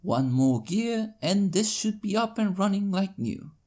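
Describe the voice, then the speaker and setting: A woman's voice: mid-range voice, expressive, a little slow, a little mellow, voice pushed up. A woman voicing a mild, thoughtful pony clockmaker who speaks politely and explains things precisely in a story reading. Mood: reassuring. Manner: polite, explanatory, slightly pedantic.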